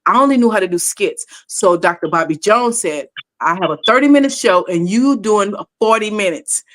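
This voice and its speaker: Lively woman in her sixties telling stories. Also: mid-range voice, normal speed. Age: 60s. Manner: animated, laughs often, acts out quoted voices. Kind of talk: casual storytelling chat.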